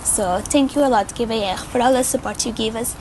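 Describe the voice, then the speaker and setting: A woman's voice: fairly high pitch, a little fast, background noise. A woman in her early twenties from Lisbon with a Portuguese accent, giving an earnest testimonial. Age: early 20s. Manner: earnest, grateful. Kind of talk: testimonial video.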